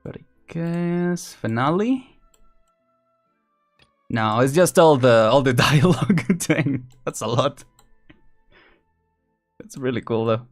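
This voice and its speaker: A man's voice: mid-range voice, lively intonation, slow pace, long pauses. Rambling male game streamer over music. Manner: rambling, chuckling, thinking out loud. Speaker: live streamer. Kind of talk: casual live game-stream chat.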